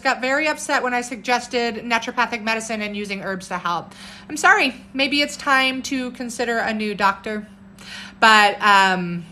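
A woman in her thirties with a loud voice, an herbalist chatting casually on a phone live stream at home and answering viewer comments in an animated way. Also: mid-range voice, slight background noise. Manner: animated, jumps between topics and viewer comments, upbeat. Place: home room with bookshelves, indoors. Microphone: phone camera at arm's length.

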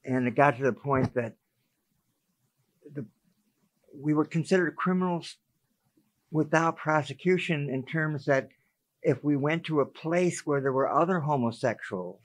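A man in his eighties, a guest, explaining history calmly in a group conversation. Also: fairly high pitch, no echo, rough recording. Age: 80s. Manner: measured, explanatory. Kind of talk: recounting history in a group chat.

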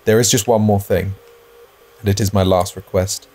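A man with a lowish voice co-hosts a let's-play. He talks casually, drops dry jokes and reads the game's text aloud. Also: steady tone, normal speed, mellow. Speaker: gaming video co-host.

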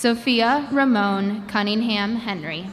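A woman reading graduates' names one by one at a commencement ceremony, announcing each name with a measured pause through a podium microphone. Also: on the high side, fairly bright tone. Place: large indoor gymnasium. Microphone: podium microphone over a PA system. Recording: long hall reverb with crowd noise. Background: crowd ambience.

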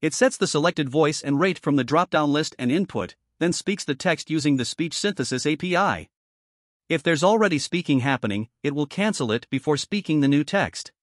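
A man's voice: a little high, expressive, moderate pace, dry recording, clean studio sound. A man presenting a programming tutorial in scripted step-by-step narration.

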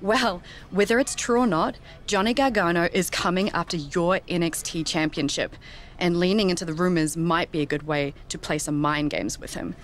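A woman's voice: fairly low pitch, normal speed, slight background noise, natural voice. An actress playing a scheming, ambitious woman wrestler with a sly, coaxing way of talking in a video game. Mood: sly, suggestive. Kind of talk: video game story cutscene dialogue.

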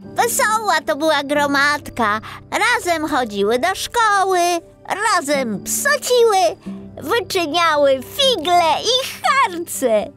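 A woman voicing a sweet, cheerful little star girl telling bedtime stories in an animated, childlike way for a children's audiobook. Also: very high-pitched, slow, exaggerated voice. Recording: music behind the voice.

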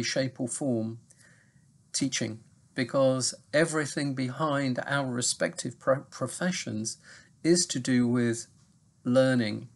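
A man, giving a recorded lecture from his home study to his university students in a measured, explanatory way. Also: medium pitch, gravelly. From Manchester, England. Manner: measured, explanatory, lots of lists. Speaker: university English teacher. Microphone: webcam at desk.

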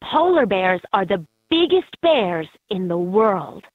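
A woman reading scripted educational narration for a children's game in an expressive, instructive way. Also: mid-range voice, slow pace, no echo. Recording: slightly dull, lo-fi game audio.

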